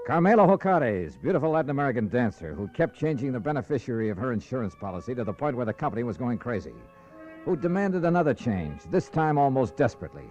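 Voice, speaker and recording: An actor playing a brusque, sardonic, wisecracking insurance investigator in 1950s radio drama style. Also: male voice, mid-range voice, very animated, fast, natural voice. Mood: narrating wryly. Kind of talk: 1950s radio drama acting with first-person narration. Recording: vintage radio recording, dull, faint music.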